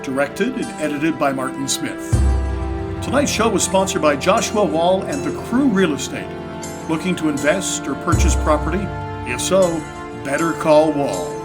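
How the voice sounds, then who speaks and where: A man announces show credits in a scripted style. The voice sits slightly high, with music playing loudly behind it. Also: animated, measured pace. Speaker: announcer and director. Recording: loud music under voice. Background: loud music.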